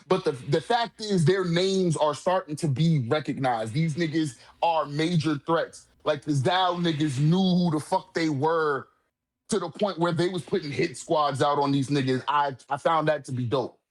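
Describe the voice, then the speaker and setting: Higher-voiced man, slangy and emphatic. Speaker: commentator. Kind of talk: casual anime and manga discussion.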